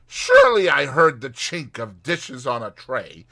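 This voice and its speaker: A man voicing a puffed-up, boastful toad gentleman in a storybook, with swaggering, theatrical emphasis. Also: medium pitch, sing-song, measured pace, full character voice. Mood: dramatic, excited.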